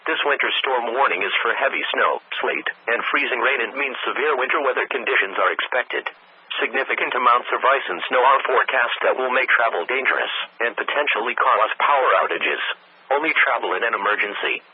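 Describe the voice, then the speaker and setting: A synthetic text-to-speech man reads a scripted weather warning bulletin over the radio in measured, impersonal, segmented phrases. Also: mid-range voice, breathy edge, no echo. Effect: weather radio broadcast. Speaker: automated weather radio announcer. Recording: tinny narrowband weather radio broadcast.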